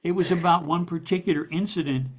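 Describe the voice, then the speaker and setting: A man's voice: fairly high pitch, fast talker. A man speaks as a guest in an interview, laying out his points thoughtfully. The recording sounds dull and lo-fi, with the top end muffled. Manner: thoughtful, explanatory. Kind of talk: conversational interview.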